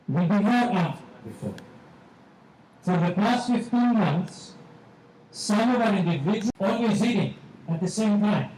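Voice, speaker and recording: A man with a Greek accent giving a measured graduation speech as a teacher, repeating phrases for emphasis. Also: high-pitched, darkish tone. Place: large hall at a ceremony. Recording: echoey hall, distant mic, faint noise.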